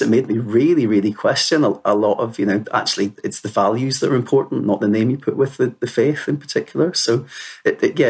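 A man's voice: fairly low pitch, slightly rough. A man in his fifties with a Northern Irish accent, telling personal stories earnestly and conversationally as an interview guest. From Northern Ireland. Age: 50s. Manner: earnest, conversational, peppered with "you know". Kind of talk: reflective personal storytelling in conversation.

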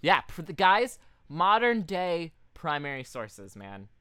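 A man's voice: a little high, sing-song, slow, pushed and bright, clean tone, dry recording, studio quality. A man co-hosting a podcast with casual, profane, playful banter that wanders into tangents. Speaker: podcast co-host.

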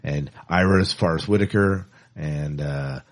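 A middle-aged man hosting a podcast, talking casually and with clear opinions about movie news. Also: extremely low pitch, unhurried. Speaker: podcast host.

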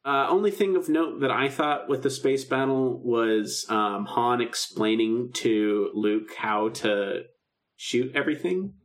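A man's voice: medium pitch, expressive, measured pace. A man co-hosting a podcast in casual, enthusiastic chat, often slipping into acted-out quotes. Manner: enthusiastic, acts out quoted dialogue. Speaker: podcast co-host.

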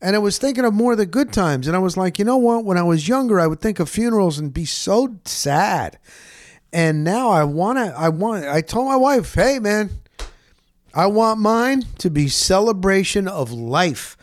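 A middle-aged man with a slightly higher-pitched voice hosts in a casual, reflective way. His storytelling is informal and rambling. The sound is dry, with very little room in it. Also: lively intonation, moderate pace, no echo. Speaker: interview show host. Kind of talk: casual, reflective monologue and interview chat.

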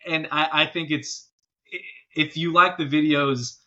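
Bright, higher-voiced man chatting, slightly dull recording. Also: steady tone, measured pace. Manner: informal, often checking in with "you know". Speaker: interview guest, professional musician. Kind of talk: casual chat.

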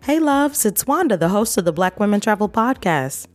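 A woman's voice: mid-range voice, sing-song, normal speed, soft background music. A woman hosting a travel podcast, asking her guest questions in a friendly, conversational way. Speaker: podcast host. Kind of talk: podcast interview.

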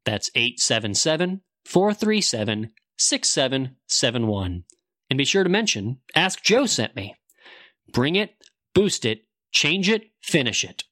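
A man announces a sponsor spot from a script. His pitch is medium and moves around in an animated way, and the sound is very dry. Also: measured pace, no room sound. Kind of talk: scripted advertising narration.

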